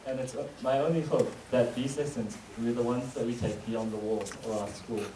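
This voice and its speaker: A young man from New Zealand giving a reflective, measured spoken-word monologue on a school stage. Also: medium pitch, fairly even intonation. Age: young adult. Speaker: student speaker. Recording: echoey hall recorded from far off, noisy.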